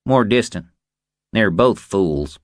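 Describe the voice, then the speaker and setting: Man voicing a curt, wary ranch cook woman, lifted pitch. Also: animated, takes it slowly, no echo, studio quality. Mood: blunt warning. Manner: curt, guarded.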